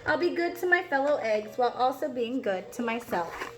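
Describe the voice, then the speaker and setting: A woman voicing an earnest, eager-to-please egg in an expressive picture-book read-aloud for young children. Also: high-pitched, brisk, faint music behind. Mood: hopeful.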